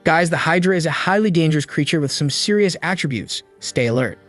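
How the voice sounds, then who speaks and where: A man's voice: on the high side, animated, fairly quick, a bit bright. A man narrating a scripted story recap in a colloquial, dramatic way with slangy asides. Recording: music under the voice. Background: background music.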